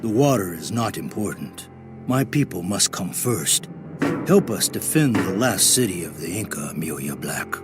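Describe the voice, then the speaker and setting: A man's voice: deep voice, lively intonation, normal speed, loud music behind the voice. An actor playing a solemn, dignified Inca leader in a video game, speaking formally as protector of his people. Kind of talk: dramatic video game voice acting.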